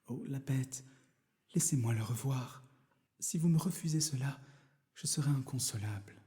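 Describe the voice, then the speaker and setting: Man voicing a soft, timid young woman. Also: medium pitch, normal speed, pitched above the performer's own voice, close to an everyday voice. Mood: pleading. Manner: soft, hesitant, pleading. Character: timid, sincere, kind-hearted young woman.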